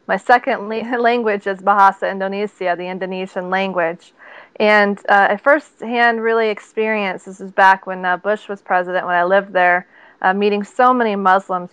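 A woman's voice: medium pitch, fairly bright tone, clean tone, dry recording. A woman hosting an interview show, talking in a chatty way with frequent restarts. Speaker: host.

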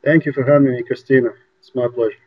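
A man from Egypt, a guest on an interview show, talking by phone in a halting way with many fillers. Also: medium pitch, steady tone. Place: home in New York. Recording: dull lo-fi phone line.

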